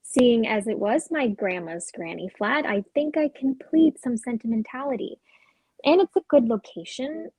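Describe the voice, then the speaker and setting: Husky, understated woman, acting a guarded girlfriend. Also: fairly high pitch, lively intonation, normal speed, a little mellow, no echo, low-quality recording. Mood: mildly defensive. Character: independent, guarded, sentimental girlfriend. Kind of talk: naturalistic dramatic script reading.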